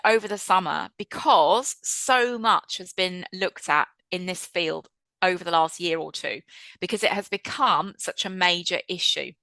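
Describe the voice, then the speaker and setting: A woman in her fifties hosting a webinar over a video call, talking in a chatty, lively and reflective way. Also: mid-range voice, no room sound, poor audio. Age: 50s. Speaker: webinar host and trainer. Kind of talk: presenting a webinar.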